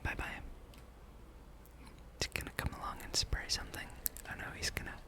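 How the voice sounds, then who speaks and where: A person speaking in a breathy, unvoiced whisper, giving rambling, playful ASMR commentary while playing a video game. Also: brisk. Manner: hushed, playful musing, reads game dialogue aloud. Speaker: gameplay streamer.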